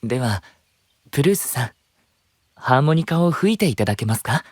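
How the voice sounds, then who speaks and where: A man's voice: medium pitch, very animated, a little fast, dry recording. A man playing a composed, scholarly young knight who speaks politely and formally in an anime-style voice drama. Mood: composed, thoughtful. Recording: music clearly behind the voice.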